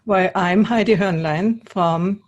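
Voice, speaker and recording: A woman at a mid-range pitch hosts an interview over a video call. English is not her first language, and now and then she pauses to find the right word. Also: a little mellow, lo-fi sound. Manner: searches for words in a non-native language. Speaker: host. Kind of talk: hosting an interview.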